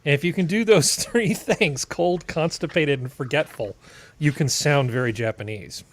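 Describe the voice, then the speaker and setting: A man's voice: on the high side, normal speed. A middle-aged man chatting casually and comically as part of a panel. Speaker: panel member.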